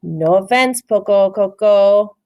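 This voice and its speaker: A woman voicing a gang of small, cheeky male Chihuahuas in a storybook, with slangy, emphatic, drawn-out phrases. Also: mid-range voice, dark tone. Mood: breezy, boastful.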